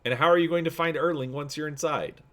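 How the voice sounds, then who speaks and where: A man's voice: on the high side, fast, a bit bright, voice pushed up, lightly acted. A man voicing a skeptical, folksy male prospector who challenges his companions in a space adventure story. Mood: skeptical, challenging. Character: gruff, skeptical prospector and ship pilot, male.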